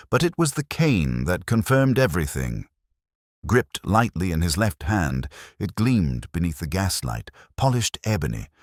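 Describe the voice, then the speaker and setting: Audiobook narrator, male, extremely low register, measured and formal, animated intonation, dry close sound. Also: no echo. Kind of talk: scripted first-person detective story narration.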